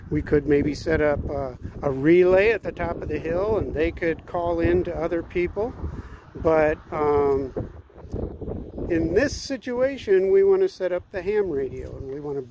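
Higher-voiced older man, outdoors. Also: measured pace. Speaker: ham radio hobbyist vlogger. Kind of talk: casual explanatory chat to camera. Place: outdoors in a residential front yard. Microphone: phone at arm's length. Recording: outdoor background noise.

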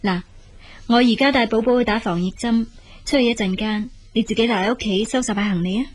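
A woman's voice: fairly high pitch, a little fast, husky. An actress playing a hard-working, caring elder sister and young mother in a Cantonese radio drama, with a Hong Kong accent. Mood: brisk, motherly.

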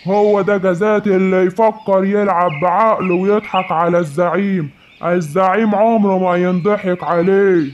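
A man's voice: high voice, steady tone, normal speed, lightly acted. A man voicing an angry, vengeful lion king in Egyptian Arabic for a children's cartoon, scolding and commanding. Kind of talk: children's animated animal fable. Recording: muffled, noisy home recording.

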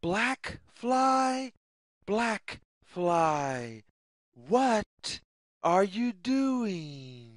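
A woman reading a picture book aloud for young children in a deliberate, sing-song way, in short separated phrases. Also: mid-range voice, big pitch swings, no room sound, studio quality. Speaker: children's story reader.

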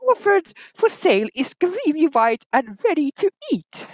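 A woman's voice: high-pitched, very animated, normal speed, a little mellow, dry recording, highs cut off. A woman from England reading an old text aloud on a livestream, in a playful put-on accent and mock-formal manner. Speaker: guest reader.

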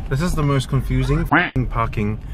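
A man's voice: medium pitch, a little fast. A young man vlogging from a car, joking, swearing casually and giving running updates. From Australia. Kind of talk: casual vlog chat. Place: inside a car. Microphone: phone held at arm's length. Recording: noisy car interior.

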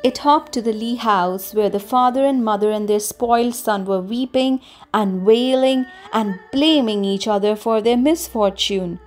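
A woman with a fairly high voice reads a children's story aloud. Her phrasing is expressive and deliberate, and music plays behind her. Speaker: storybook reader. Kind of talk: scripted children's story narration.

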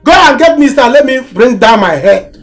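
A woman's voice: sits high, lively intonation, normal speed, faint music behind. A Nigerian woman acting a self-important, worked-up character in a screen drama, dramatic and repetitive. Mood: agitated.

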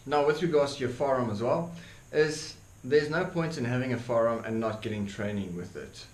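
A man's voice: mid-range voice, normal speed. Earnest Afrikaans-accented young man. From South Africa. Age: young adult. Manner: earnest, frequent ums and repeated words, gestures with hands. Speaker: YouTuber. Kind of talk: opinion monologue to camera. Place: dark indoor room, seated by desk. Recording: echoey room with faint hiss.

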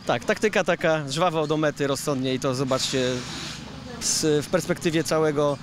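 Upbeat high-voiced rally driver. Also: male voice, normal speed, noisy background. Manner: upbeat, rambling with fillers. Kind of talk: post-stage interview. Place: outdoor rally service park. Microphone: handheld reporter mic.

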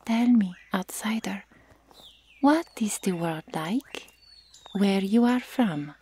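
A woman playing a nosy, teasing Amazon warrior sister in an ASMR roleplay, speaking softly and often whispering. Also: mid-range voice, slow pace, slight background noise, close to an everyday voice. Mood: inquisitive. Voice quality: soft, breathy, often whispering. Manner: inquisitive, needling.

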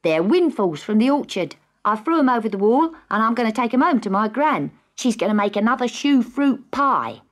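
A woman's voice: fairly high pitch, big pitch swings, normal speed, hardly any pauses, voice pushed up. A woman voicing a cheeky, friendly village boy in a storybook, with an English accent. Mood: cheerful.

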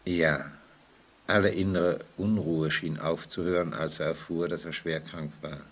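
An actor playing a composed, authoritative prison inspector in a vintage German radio play, formal, deliberate and patiently firm. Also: male voice, very deep voice, steady tone. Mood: grave.

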